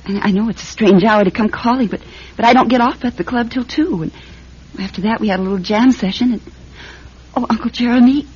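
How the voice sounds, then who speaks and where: Earnest young female singer, heavily breathy-husky, radio drama, muffled sound. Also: fairly high pitch, brisk, darkish tone. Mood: worried. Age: young adult. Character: April, earnest, worried young nightclub singer, hopeful niece. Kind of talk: radio mystery drama acting.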